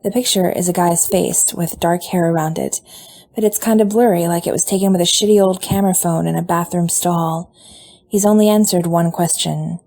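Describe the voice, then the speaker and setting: Mellow woman reading a story aloud. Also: lowish voice, normal speed. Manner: relaxed storytelling with voiced dialogue. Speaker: podfic reader. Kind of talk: reading fan fiction aloud.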